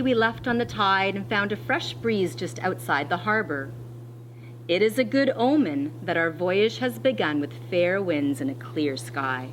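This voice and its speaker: A woman teacher reading a picture book aloud to children in an expressive, deliberate way, pausing to explain as she goes. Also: medium pitch, slight background noise, rough recording.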